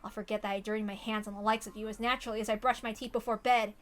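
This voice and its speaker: A woman's voice: mid-range voice, level tone, rapid-fire, natural voice. A woman voicing a teenage boy consumed by murderous rage in an intense, dramatic visual novel monologue. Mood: cold menace.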